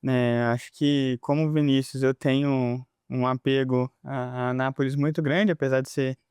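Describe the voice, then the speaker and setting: A man's voice: mid-range voice, fairly even intonation, moderate pace, a little mellow, clean tone, no room sound, clean studio sound. Reflective man in his thirties. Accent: mixed Minas Gerais accent. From Minas Gerais, Brazil. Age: 30s. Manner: reflective, frequent tag questions. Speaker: guest, electrical engineer. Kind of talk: interview self-introduction.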